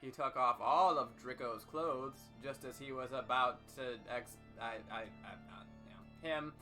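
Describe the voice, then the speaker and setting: A man with a bright, forceful voice reads fan fiction aloud as comedy. His delivery is theatrical and heavily emphatic, over music in the background. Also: mid-range voice, a little slow. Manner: theatrical, emphatic, with sudden shouts. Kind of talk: over-dramatic comedic reading.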